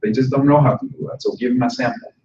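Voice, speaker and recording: A man presents a workshop to fellow instructors, lively and joking, slipping in a few words. He sounds far from the microphone in a roomy conference hall, and the recording is dull and muffled. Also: medium pitch, quick pace, a little hiss or hum. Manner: lively, joking, with brief Spanish asides. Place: conference room.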